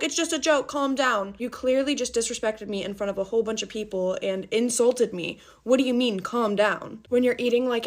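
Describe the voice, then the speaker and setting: A young woman ranting in an animated, exasperated way about her pet peeves, leaning heavily on "like". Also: a little high. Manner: animated, exasperated, heavy use of "like". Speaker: TikTok creator. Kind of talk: get-ready-with-me pet-peeve rant.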